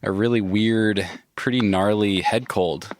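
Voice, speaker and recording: A man's voice: on the low side, a little slow, no echo. A man hosting a podcast, talking casually and laughing often, a little stuffy from a head cold. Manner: relaxed, laughs often, restarts sentences. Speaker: podcast host. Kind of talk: casual podcast chat and ad read. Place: inside a van.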